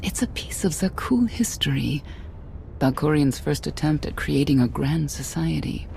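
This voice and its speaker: An actress playing a weary, regretful middle-aged warrior mother in a video game, speaking in a measured, grave way. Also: deep voice, animated, creaky, a little mellow, slight background noise. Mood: reflective. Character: weary, regretful warrior mother, former elite royal guard. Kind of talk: dramatic video game acting.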